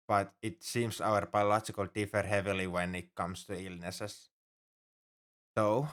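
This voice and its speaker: A man's voice: lowish voice, a bit bright. A man playing an anxious, caring alien crewmate on a spaceship in an ASMR roleplay, speaking earnestly and hesitantly with slightly formal phrasing. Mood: thoughtful. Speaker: ship crewmate tending a sick human.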